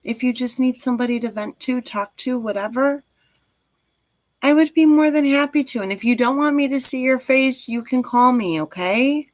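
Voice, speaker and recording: A woman's voice: high-pitched, normal speed, no echo. A woman in her forties chatting casually on a livestream as host, speaking directly to listeners in an encouraging, reassuring way. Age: 40s.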